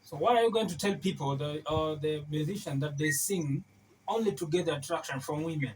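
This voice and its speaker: A male interviewer asking questions haltingly, with many fillers and restarts. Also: fairly high pitch, low-quality recording. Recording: crowd noise behind the voice. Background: crowd noise.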